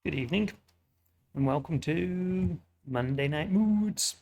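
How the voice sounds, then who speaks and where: A British man with a sniffly, hoarse voice, tired and chatty in a self-mocking way, who hosts a storytelling show and reads an epic poem aloud. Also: a little high, animated, slow, darkish tone. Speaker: storyteller host. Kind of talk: casual intro, then reading an epic poem aloud.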